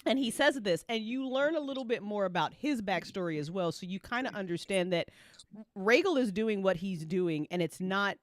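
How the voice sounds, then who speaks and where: A woman chatting casually as a writer about her audio drama, with animated, slangy, swear-laden storytelling. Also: medium pitch, moderate pace, no room sound, studio quality. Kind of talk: casual after-show chat.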